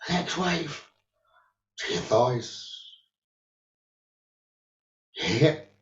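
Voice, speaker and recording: A man leading a guided meditation as a teacher, giving gentle instructions in short phrases with long pauses. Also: a little high, big pitch swings. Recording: roomy echo, dull lo-fi sound.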